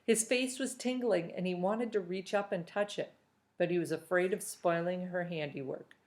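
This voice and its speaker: A woman reading a novel aloud chapter by chapter, with steady storytelling. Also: fairly low pitch, normal speed.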